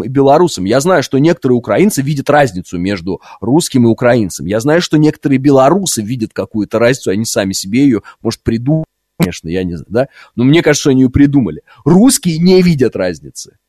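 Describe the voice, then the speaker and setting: A man's voice: medium pitch, big pitch swings, fast talker, a little mellow, dry recording, clean studio sound. A man from Russia's Orenburg region hosting live talk radio, giving opinions conversationally, repeating points and asking rhetorical questions. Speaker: radio talk show host. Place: radio studio. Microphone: close studio microphone.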